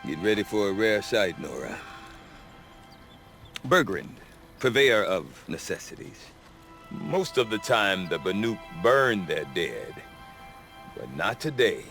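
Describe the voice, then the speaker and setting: An actor playing a wry, grumbling frontier trader in a video game, chatty and folksy in a sardonic way. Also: male voice, lowish voice, big pitch swings, slow, long pauses, a bit bright, heavily acted. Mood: salesman-like patter. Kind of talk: video game character dialogue. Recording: music behind the dialogue.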